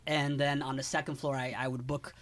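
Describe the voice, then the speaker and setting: A man's voice: mid-range voice, little pitch movement, fairly quick, a bit bright. A man from Canada, talking casually as an interview guest. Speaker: guest, music interviewer and podcast founder.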